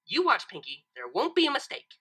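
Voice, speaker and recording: Woman acting stern female cartoon unicorn, bright forceful voice, emphatic, impatient, self-assured, animated pitch. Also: fairly quick, breathy edge, heavily acted. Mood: confident. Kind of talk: acted fan fiction reading.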